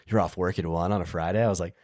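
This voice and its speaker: A man's voice: sits low, steady tone, racing, darkish tone, no echo, clean studio sound. A man hosting a podcast, chatting casually and enthusiastically, tossing in slang. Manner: enthusiastic, slangy, says "dude". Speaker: podcast host. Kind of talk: casual interview chat.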